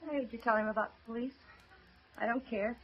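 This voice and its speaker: A woman acting a desperate, distrustful young woman in an old radio drama. Her voice is high, tense and defiant, full of emotion. It comes through a muffled vintage recording. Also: fairly even intonation, brisk, slight background noise, close to an everyday voice. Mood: bitter, defiant. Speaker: radio actor.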